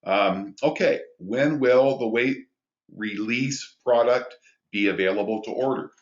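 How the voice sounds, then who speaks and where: A man with a big booming voice hosting a live business training call from a small studio, reading out viewer questions and explaining sign-up steps. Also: measured pace, echoey room, highs cut off. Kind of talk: live webinar training talk.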